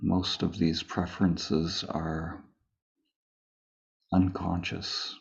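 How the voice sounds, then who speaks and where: A man leading a guided meditation as a teacher, speaking calmly and unhurriedly with long pauses between phrases. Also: sits low, vocal fry, dull audio. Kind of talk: slow guided meditation, spoken live.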